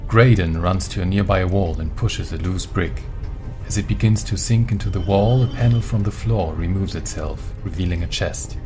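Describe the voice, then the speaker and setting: A man's voice: low voice, moderate pace. A man narrating a scripted fantasy audio drama in a dramatic storytelling style. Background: prominent music.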